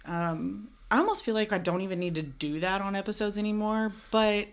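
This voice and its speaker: A woman's voice: mid-range voice, a little fast. Chatty woman hosting a podcast. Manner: chatty, giggly, many "like" and "um" fillers. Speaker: podcast host. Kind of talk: casual podcast chat.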